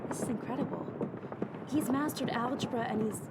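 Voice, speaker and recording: Woman playing a high-voiced bookish young woman. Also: brisk, heavy background noise, natural voice. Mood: amazed. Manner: earnest, bookish. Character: bright eager young female scholar. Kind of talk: fantasy audio drama acting. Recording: loud ship ambience behind voice.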